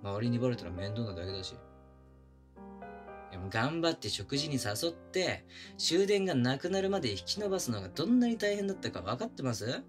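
A man's voice: medium pitch, big pitch swings, fairly quick, faint music behind, close to an everyday voice. A man playing a pushy, flirtatious younger coworker with puppyish eagerness, speaking casually and intimately to the listener in a romantic audio drama. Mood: earnest, coaxing. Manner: casual junior-to-senior speech, teasing and coaxing. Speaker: voice actor in a romantic situation voice drama. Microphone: close binaural studio microphone.

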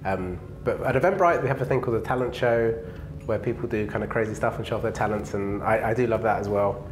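A man in his thirties from England, answering interview questions in a relaxed, easygoing way with plenty of fillers, on a lapel mic in an indoor lounge. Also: lowish voice, fast, noisy background. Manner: relaxed, laughs easily, many fillers. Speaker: interview guest, engineering manager.

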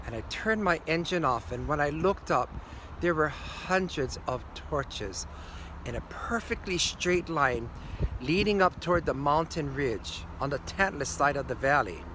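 Older hawaiian guy telling a spooky story, kinda high voice, faint hum behind. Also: expressive. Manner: slow, hushed at key moments. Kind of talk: spoken ghost story. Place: green screen studio. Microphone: facing camera at close range.

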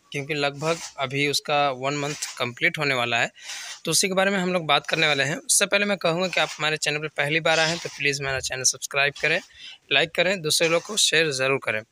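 Male dairy farmer host with a Bihari Hindi accent, bright voice, casual explainer, recorded outdoors on a phone. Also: on the high side. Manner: conversational, explanatory, mixes English words. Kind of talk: casual walkthrough vlog. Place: open-sided cattle shed outdoors.

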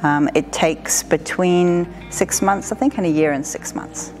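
Low-voiced woman explaining her job. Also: a little slow, a little mellow, soft background music, poor audio. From southern Africa. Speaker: air traffic controller being interviewed. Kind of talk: explanatory interview talk. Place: indoor room with wood-panelled wall.

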